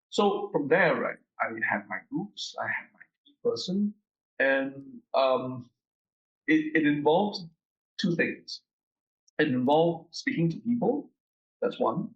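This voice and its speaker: A man in an interview, talking through his research in a halting way, often restarting and repeating words. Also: mid-range voice, fairly bright tone, lo-fi sound. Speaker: researcher guest. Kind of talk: conversational interview.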